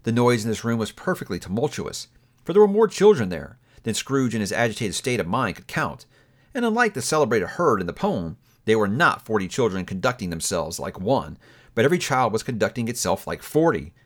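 A man narrating a classic story aloud in an expressive audiobook reading style. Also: lowish voice, brisk. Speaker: book reviewer reading aloud.

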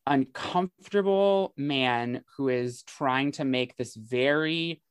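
A man's voice: mid-range voice, takes it slowly, smooth, dry recording. Guy podcast co-host just chatting, swears a bit, repeats stuff for emphasis, dry close sound. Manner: informal, profane, repeats phrases for emphasis. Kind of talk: casual chat about horror movies.

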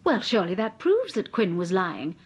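An actress playing a quick-witted, curious wife of a detective in a radio drama, questioning eagerly. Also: female voice, medium pitch, sing-song, breathy edge. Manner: bright, inquisitive questioning. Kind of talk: 1940s radio drama acting.

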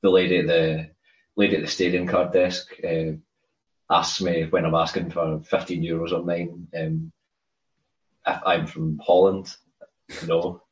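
A man's voice: deep voice, flat delivery, measured pace, pauses often. A Scottish man co-hosting a podcast, chatting casually and rambling, often repeating words as he starts a thought. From Scotland. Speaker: podcast co-host. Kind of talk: casual chat. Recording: roomy dull lo-fi sound.

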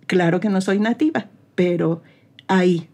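A woman's voice: fairly low pitch, unhurried, a little mellow. A Mexican woman, an English teacher, giving earnest, encouraging advice as a guest in an interview. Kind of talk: interview conversation.

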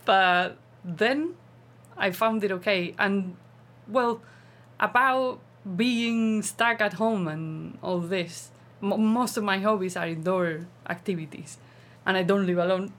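A woman's voice: mid-range voice, slow pace, a bit bright. A woman from southern Spain with a Spanish accent, a teacher chatting casually as an interview guest. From Seville province, Spain. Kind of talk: casual chat.

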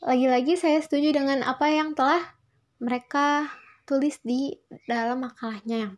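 A young woman gives a prepared student response in a polite, formal manner, often repeating and rephrasing her phrases. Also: sits high. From Indonesia. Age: young adult. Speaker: student presenter. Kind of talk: prepared spoken class response.

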